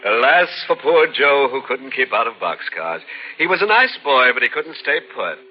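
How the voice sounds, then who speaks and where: An actor playing a ghoulishly playful horror show host, delivering theatrical, mock-sympathetic 1940s radio patter. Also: male voice, mid-range voice, very animated, moderate pace, a little hiss or hum, close to an everyday voice. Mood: gleefully macabre. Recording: dull old radio recording with music.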